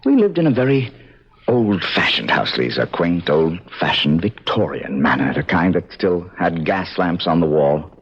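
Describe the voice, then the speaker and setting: An actor playing a haunted, bitter man in an old-time radio drama, brooding and deliberate, with dramatic pauses. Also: sits low, very animated, slightly rough, exaggerated voice. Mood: haunted, anguished. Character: haunted, bitter man traumatised by his parents' past. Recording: dull lo-fi vintage radio broadcast.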